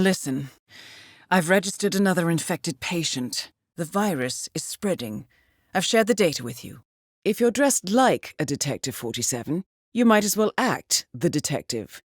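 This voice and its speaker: An actress playing a composed, coolly wry intelligence handler in a video game, giving formal, measured, dryly understated mission briefings. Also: female voice, on the low side, no echo, clean studio sound. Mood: controlled urgency.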